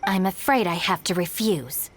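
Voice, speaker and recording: A woman's voice: fairly low pitch, moderate pace, noisy background, lightly acted. A woman playing a stern, exasperated, dutiful young gang deputy in a video game, dry and no-nonsense. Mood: formal. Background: game music.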